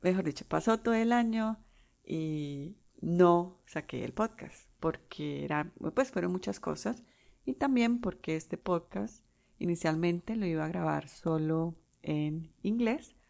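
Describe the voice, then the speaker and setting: A Colombian woman in her forties hosting her own podcast, talking unscripted with pauses and restarts. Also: on the low side, expressive, creaky, a little mellow. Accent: Colombian Spanish. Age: 40s. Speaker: podcast host. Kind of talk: solo podcast monologue.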